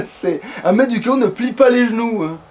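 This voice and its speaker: A high-pitched male gamer rambles through casual let's play commentary. He swears freely and chats as if to a friend. There is faint noise behind his voice. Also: expressive, normal speed, a little mellow. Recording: background noise.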